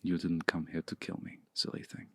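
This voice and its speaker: A man playing a seductive, commanding male vampire in an intimate ASMR roleplay, speaking slowly and deliberately in a hushed, breathy voice close to the mic. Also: completely flat delivery. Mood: smug, teasing. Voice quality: low, gravelly, breathy. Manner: slow, deliberate, hushed, often breathy near-whisper. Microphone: close mic, dry.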